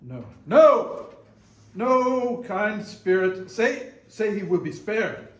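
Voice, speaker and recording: A man's voice: high voice, big pitch swings, extremely slow, exaggerated voice. A man playing an anguished old miser who begs in an imploring, theatrical way. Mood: desperate pleading. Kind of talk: readers theater acting. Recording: echoey room.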